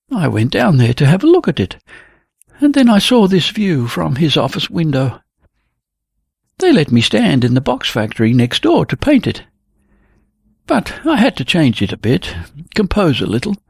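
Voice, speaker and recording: A narrator voicing a gentle, earnest young woman painter who soothes her lover. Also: medium pitch, creaky, breathy edge, no room sound, clean studio sound, pitched above the performer's own voice, full character voice.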